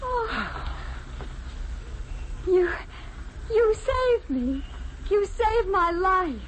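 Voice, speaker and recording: An actress playing a young, grateful, swooning damsel in a melodramatic 1950s radio drama. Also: female voice, extremely high pitch, sing-song, drawn-out words, heavily acted. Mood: swooning gratitude. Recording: faintly noisy old radio recording.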